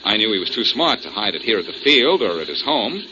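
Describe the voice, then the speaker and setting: A man's voice: on the low side, big pitch swings, fairly quick. An American actor playing a cocky, wisecracking amateur detective in a 1940s radio drama, breezy and teasing as he explains things. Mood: confident explaining. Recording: noisy, muffled 1940s radio recording.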